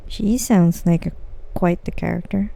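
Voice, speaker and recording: Female game streamer: very dark, soft-edged tone, unhurried, a touch gravelly, casual murmured remarks. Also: fairly even intonation. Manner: low-key, murmuring to herself. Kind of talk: casual live gameplay commentary.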